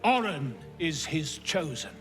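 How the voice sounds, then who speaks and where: Flamboyant self-important bard, video game, grandiloquent storyteller, bright ringing voice, slow dramatic delivery. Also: male voice, a little high, very animated, soft background music, exaggerated voice. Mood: ominous, dramatic. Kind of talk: video game character acting.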